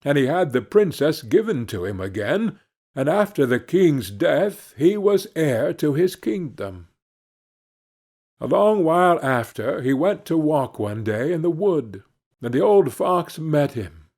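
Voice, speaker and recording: A man narrating a fairy tale from a script, as an audiobook reader, in a deliberate, expressive storytelling manner. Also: on the high side.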